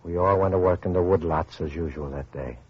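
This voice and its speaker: Low-voiced, grave survivor narrator. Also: male voice, a little fast, breathy edge. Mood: sombre, reflective. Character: Stanchion, weary male survivor and grave narrator after atomic war. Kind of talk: 1950s radio drama narration. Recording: muffled old radio recording, music behind.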